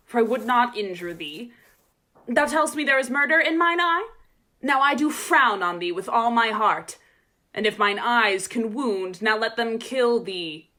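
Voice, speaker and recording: A woman's voice: on the high side, sharp tone. A woman acting a proud, disdainful young shepherdess with haughty theatrical delivery in a Shakespearean radio play.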